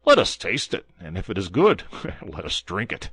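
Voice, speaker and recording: A man's voice: low-pitched, wildly swooping pitch, normal speed, a bit bright, husky, no room sound, heavily acted. A man doing a hearty, bluff musketeer. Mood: jovial.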